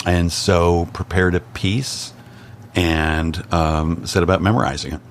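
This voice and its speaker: Deep-voiced older man, dry wit. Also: fairly even intonation, slow pace, a little hiss or hum. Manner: dry, wry humor with hesitant restarts. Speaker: guest. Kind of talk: casual chat.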